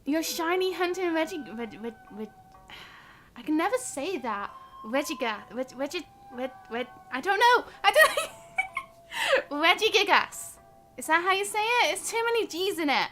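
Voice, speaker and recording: A woman's voice: very high voice, lively intonation, normal speed, fairly bright tone, soft background music. A woman chatting casually and bubbly as she hosts a gaming livestream, rambling along and talking to her viewers. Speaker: livestream host.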